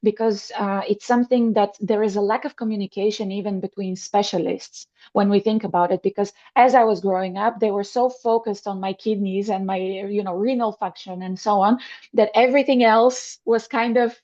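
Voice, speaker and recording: Woman from Bulgaria, host, warm mellow tone, earnest, slightly muffled lo-fi sound. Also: medium pitch, steady tone, normal speed. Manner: earnest, agreeing, with frequent fillers and restarts. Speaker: podcast host. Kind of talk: conversational interview.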